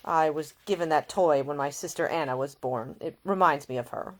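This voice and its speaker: A woman voicing a seasick gentleman in a storybook, weary, gentle and affectionate. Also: deep voice, moderate pace, lightly acted. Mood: weary, tender.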